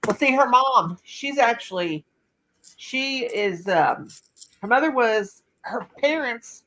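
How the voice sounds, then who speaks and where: A woman's voice: on the high side, animated, takes it slowly, pushed and bright, poor audio. An older woman hosting a casual live chat on her laptop, telling a rambling story full of restarts. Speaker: channel host.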